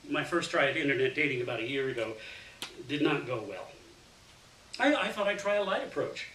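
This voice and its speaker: Bright-voiced man reading a story, roomy sound. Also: mid-range voice, a little fast. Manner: dramatic, animated delivery with acted dialogue. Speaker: reader of a short story to an audience. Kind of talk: expressive first-person literary story reading. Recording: roomy, distant mic, faint noise.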